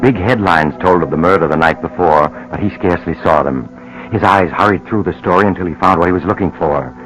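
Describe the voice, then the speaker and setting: An actor in an old-time radio drama serving as the grave, ominous, deliberate narrator of a thriller. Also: male voice, low-pitched, a bit bright, faint music behind, rough recording.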